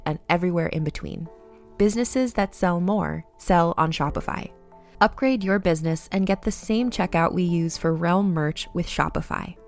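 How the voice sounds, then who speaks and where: A woman reading a scripted advertisement voice-over in a polished, measured sales delivery. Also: on the low side. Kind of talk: scripted ad narration.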